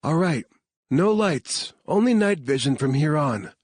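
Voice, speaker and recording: A woman's voice: low voice, sing-song, a little slow, darkish tone, slightly rough, no room sound, pitched above the performer's own voice, full character voice. A man voicing a commanding woman team leader in an audiobook.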